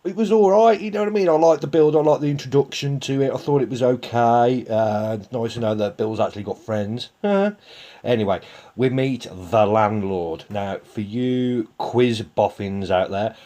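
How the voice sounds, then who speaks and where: A man's voice: fairly low pitch, warm tone. A man with a Birmingham accent giving a casual, rambling TV episode review, full of fillers and jokey asides to viewers. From England.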